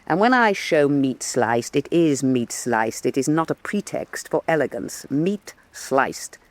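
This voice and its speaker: Defiant woman painter, coarse, mocking, very lively intonation, dry close sound, historical radio drama. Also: very deep voice, measured pace, dry recording. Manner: combative, mocking, emphatic declarations. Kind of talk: full-cast historical radio drama acting.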